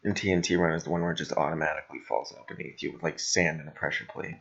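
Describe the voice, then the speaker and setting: Deep, gravelly young man, casually swearing. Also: fairly even intonation, very fast, fairly bright tone, dull audio. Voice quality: slightly raspy, gravelly. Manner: rambling, blunt. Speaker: podcast co-host. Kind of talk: casual chat, heavy swearing.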